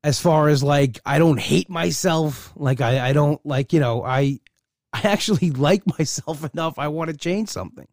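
A man's voice: a little high, moderate pace, darkish tone, no echo, studio quality. A middle-aged man talking casually and candidly in a rambling personal monologue, often restarting his sentences.

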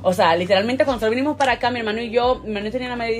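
A woman's voice: fairly high pitch, rapid-fire. Woman, Dominican accent, young, podcast co-host, laughs a lot, faint music. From Dominican Republic. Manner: lively, laughs often, repeats "¿tú me entiendes?". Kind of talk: casual chat.

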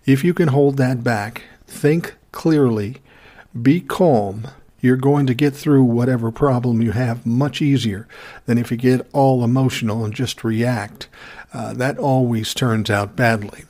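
A man in his sixties with a cold and a scratchy, hoarse throat, hosting a podcast in a calm, measured, plainspoken way, sitting close to the mic. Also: mid-range voice. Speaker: podcast host. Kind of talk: casual solo podcast talk. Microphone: close to the microphone.

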